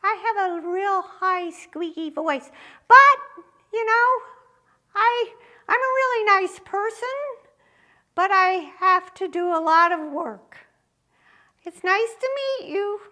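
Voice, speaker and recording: A woman voicing a young servant girl puppet, hardworking and polite, with a high squeaky voice. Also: takes it slowly, pauses often, sharp tone, exaggerated voice. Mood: chirpy.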